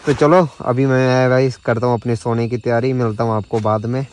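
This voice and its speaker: A man's voice: medium pitch, fairly even intonation, fairly quick, a little mellow, a little hiss or hum. A young man in his twenties filming a solo camping vlog on a handheld phone, outdoors in a forest at night and inside a small tent. He talks nervously, stammering and restarting words. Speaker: vlogger. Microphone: phone held at arm's length.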